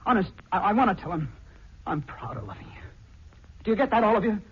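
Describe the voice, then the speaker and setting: A man's voice: high-pitched, big pitch swings, quick pace, slightly rough, full character voice. Impassioned young man, swooping voice. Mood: impassioned, proud. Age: young adult. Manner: intense, emotional. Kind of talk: 1940s radio acting. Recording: noisy dull 1940s radio recording.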